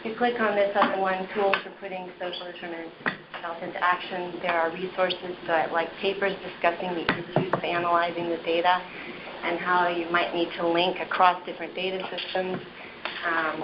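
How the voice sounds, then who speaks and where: Woman, soft-spoken, lowish voice, epidemiologist presenting slides, measured and explanatory, muffled phone line. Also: little pitch movement, very noisy. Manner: measured, explanatory, with frequent ums and restarts. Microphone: phone on the table.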